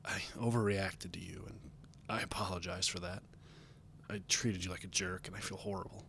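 Guy doing a nice pegasus stallion in a story, caring, sweet, expressive. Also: medium pitch, moderate pace, a little hiss or hum, pitched above the performer's own voice. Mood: gentle, apologetic.

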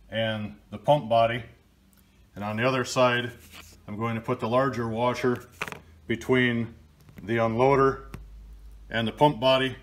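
An older man from Michigan narrating a do-it-yourself repair video in a plain, practical, step-by-step way. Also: mid-range voice. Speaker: DIY video host. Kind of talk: casual how-to narration. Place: workshop, table of pump parts.

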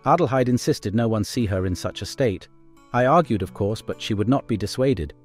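An actor playing an older German aircraft collector, a formal, quietly menacing villain. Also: male voice, lowish voice, fairly quick, soft background music, close to an everyday voice. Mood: courteous.

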